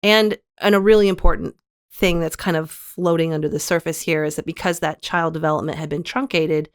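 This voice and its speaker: Earnest woman with a lowish voice. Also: steady tone, a little fast, dry recording, clean studio sound. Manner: earnest, reflective, frequent self-corrections. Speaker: guest author. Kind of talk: candid interview conversation.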